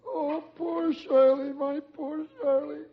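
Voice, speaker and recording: Whiny weepy dad, super high creaky voice, old radio comedy, muffled. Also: male voice, little pitch movement, takes it slowly, full character voice. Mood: tearful. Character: distraught, whimpering father of a sick daughter.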